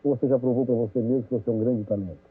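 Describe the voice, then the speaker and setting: Rapid husky Brazilian interviewer. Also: male voice, mid-range voice, steady tone, dry recording, soft background music. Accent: Brazilian Portuguese. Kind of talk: formal interview questions. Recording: lo-fi 1980s TV broadcast with phone-like band.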